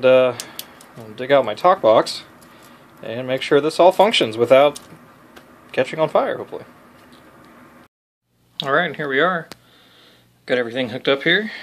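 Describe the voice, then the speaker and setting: Guy doing a casual how-to, average pitch, kinda self-deprecating, off the cuff. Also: slight background noise. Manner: self-deprecating, lightly joking. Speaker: DIY video maker demonstrating an amp modification. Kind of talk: casual unscripted tutorial talk.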